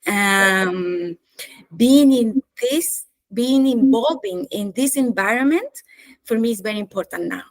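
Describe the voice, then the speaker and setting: A woman from Chile speaks in a slightly high voice, taking her time with each thought. She pauses and hesitates often, but she stays engaged as an interview guest. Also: lively intonation, low-quality recording. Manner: slow, thoughtful, with hesitations and light laughs. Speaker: chief strategist, guest. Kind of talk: interview conversation.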